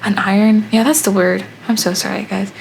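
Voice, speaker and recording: A young woman narrates her vlog in a soft, rambling voice-over. Her voice sits at an ordinary middle pitch. She often pauses on filler words, and faint hiss runs under the recording. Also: fairly even intonation, creaky, low-quality recording. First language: Haitian Creole. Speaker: art vlogger.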